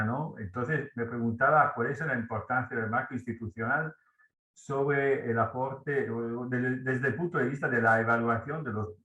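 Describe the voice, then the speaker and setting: Italian man, bright voice, roomy echo. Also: medium pitch. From Italy. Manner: searching for words, halting restarts. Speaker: audience member asking a question. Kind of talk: question in a webinar.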